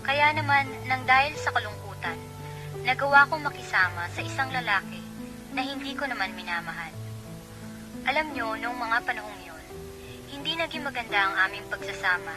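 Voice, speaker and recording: A woman's voice: fairly high pitch, expressive, moderate pace, natural voice. An actress playing a sorrowful woman who narrates her own testimony in a radio drama. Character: sorrowful female letter writer giving her testimony. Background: prominent music.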